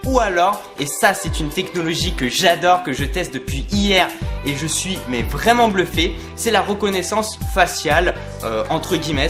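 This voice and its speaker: A tech reviewer talks to viewers in an informal, conversational way. The voice sits at a middle pitch and explains features casually. Music plays clearly behind it. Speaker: tech reviewer and vlog host. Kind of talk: casual product review to camera. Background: clear background music.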